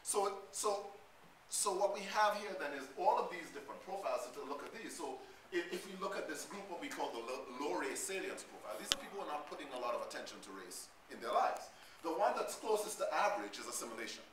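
A man's voice: on the high side, lively intonation, fast talker, fairly bright tone, a little hiss or hum. A middle-aged man from Trinidad with a slightly Americanized Trinidadian accent, giving an academic lecture at a podium and speaking thoughtfully with frequent ums and restarts. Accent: Trinidadian, somewhat Americanized. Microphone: podium mic, recorded from a far camera. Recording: echoey hall, far from the mic.

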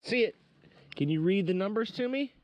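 A man's voice: high-pitched, expressive, normal speed, lo-fi sound, a little hiss or hum. A man hosting a casual family coin hunt outdoors, keeping score and explaining finds in an encouraging way. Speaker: coin hobby host. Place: outdoors at a campsite table.

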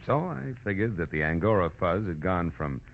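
An actor playing a tough, self-confident American private detective in old-time radio style, clipped and wisecracking. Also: male voice, sits low, lively intonation, normal speed. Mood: explaining, relaxed. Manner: hard-boiled, clipped wisecracking. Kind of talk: 1940s-50s American radio detective acting and narration. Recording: muffled, noisy old-time radio recording.

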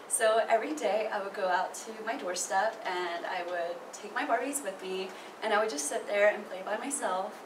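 A woman's voice: medium pitch, moderate pace. A young woman whose first language is not English, telling a childhood story in a chatty way with many ums. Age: young adult. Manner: chatty, many ums, laughs between phrases. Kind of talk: casual personal anecdote. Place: small indoor room by a theatre poster. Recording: roomy, distant, noisy.

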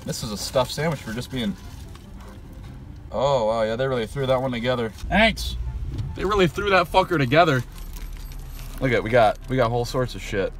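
A young man chatting casually in a slangy, profane, deadpan way as he pulls pranks from inside a car. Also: mid-range voice, moderate pace, pauses often, background noise. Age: young adult. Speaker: prankster ordering at drive-thrus. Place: inside a car at drive-thrus. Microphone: handheld camera from passenger seat.

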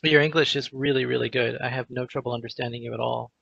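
A man hosting an interview over a video call, asking measured questions with pauses. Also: mid-range voice, fairly even intonation, creaky, fairly bright tone, muffled recording. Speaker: interview host.

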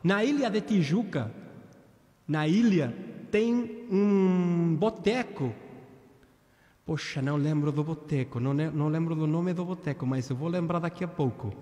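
A man's voice: on the high side, measured pace, dark tone. A man in his thirties with an Argentine accent, chatting casually with viewers during a live-stream singing session and groping for words as he switches languages. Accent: Argentine Spanish. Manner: chatty, switching between Spanish and Portuguese, searching for words. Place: small indoor room with a virtual beach backdrop. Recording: long reverb on the voice.

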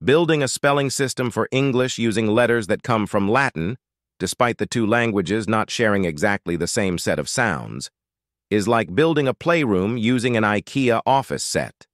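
Low-pitched man reading aloud, dry sound. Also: expressive, normal speed, no echo. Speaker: exam-practice model reader. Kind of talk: scripted read-aloud of practice passages.

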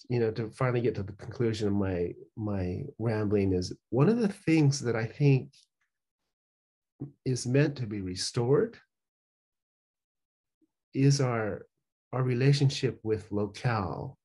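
A man's voice: on the low side, mellow, breathy edge. A man in his sixties, a professor and author, talking thoughtfully on a video call from a home study, rambling with many restarts. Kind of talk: casual reflective conversation.

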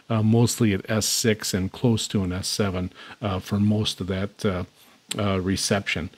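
Halting man with a lowish voice. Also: a little mellow. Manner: hesitant, halting delivery with very frequent uhs. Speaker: host demonstrating shortwave antennas. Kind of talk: unscripted product demonstration commentary. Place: indoors at a radio desk.

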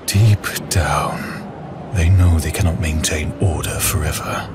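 Brooding evil alien warrior, low voice, really slow, menacing n disdainful sci-fi villain. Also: male voice, heavy background noise. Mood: brooding contempt. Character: sinister alien dark warrior, hateful, patient, contemptuous.

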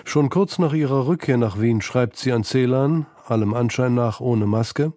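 A man narrating a scripted literary radio feature in a measured manner. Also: mid-range voice, dark tone, no echo, clean studio sound.

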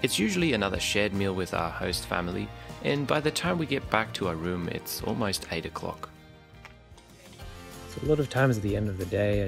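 A young man narrating a vlog in a casual, relaxed, informal way, explaining things as he goes. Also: low voice, gravelly. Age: young adult. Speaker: vlogger. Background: music.

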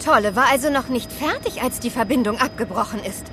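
Woman playing a high-voiced eager young girl. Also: fairly quick, music in the background, lightly acted. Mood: puzzling, eager. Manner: eager, puzzling aloud.

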